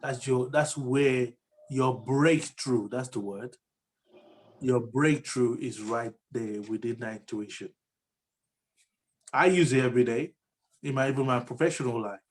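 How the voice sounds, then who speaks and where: Husky man giving earnest encouragement. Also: mid-range voice, measured pace, long pauses. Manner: earnest, encouraging, repeats "you know". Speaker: podcast co-host. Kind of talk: motivational talk show chat.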